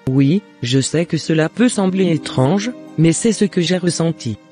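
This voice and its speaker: Cartoon mother, middle-aged, remorseful and apologetic , male actor, mellow timbre, slightly raised pitch. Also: lively intonation, measured pace, soft background music. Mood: solemn. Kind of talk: scripted cartoon dialogue.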